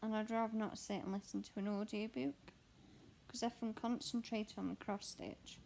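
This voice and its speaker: A young woman with a croaky voice, chatting candidly and rambling while she stitches at home. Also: medium pitch, steady tone, moderate pace, a little mellow, a little hiss or hum. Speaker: cross stitch vlogger and mother. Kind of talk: casual chat answering a question tag while stitching.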